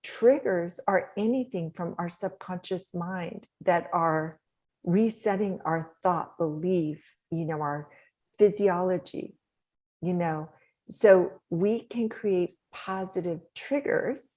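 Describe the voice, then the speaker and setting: A middle-aged woman with a soft, slightly low voice speaks as a guest on an interview show. She talks in an animated, encouraging coaching manner and laughs easily. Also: slow. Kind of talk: podcast interview talk.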